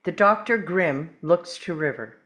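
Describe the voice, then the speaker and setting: A woman narrating scripted stage directions at a table read, measured, with dramatic pauses. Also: fairly low pitch, a bit bright.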